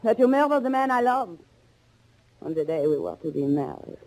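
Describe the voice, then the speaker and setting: Steely vengeful bride, swooping delivery. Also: female voice, on the high side, big pitch swings, a little mellow, rough recording. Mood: bitter, grieving. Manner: melodramatic, deliberate, emphatic. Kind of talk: 1940s radio thriller acting. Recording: old 1940s radio recording.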